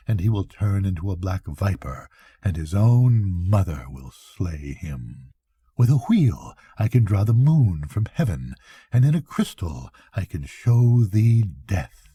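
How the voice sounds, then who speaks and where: A grandfather voicing a cunning young witch in a bedtime story, coaxing and chanting as she peddles dark spells. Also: deep voice, breathy edge, no room sound, heavily acted. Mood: boastful, menacing. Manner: chanting, repetitive, drawn-out coaxing.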